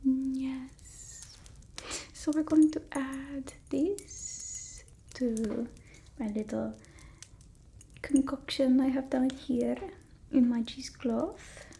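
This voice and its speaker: A woman's voice: high-pitched, steady tone, drawn-out words, creaky, long pauses, mellow, a little hiss or hum, exaggerated voice. A woman voicing a haughty, fussy but doting vampire mistress in a whispered ASMR roleplay, with a put-on Eastern European accent and an imperious, teasing murmur. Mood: fussing, gentle.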